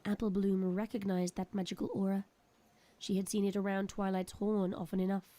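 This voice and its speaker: A woman giving dramatic scripted narration for an audio drama, expressive and suspenseful. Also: mid-range voice, fairly quick, heavy background noise. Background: prominent background music.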